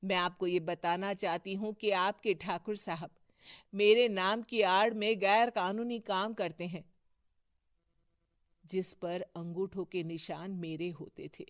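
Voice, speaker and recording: A woman acts a wronged but resolute female councillor in an animated moral tale. She speaks slowly and earnestly, in a formal way, with a very dry sound. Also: medium pitch, dry recording, close to an everyday voice. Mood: earnest resolve.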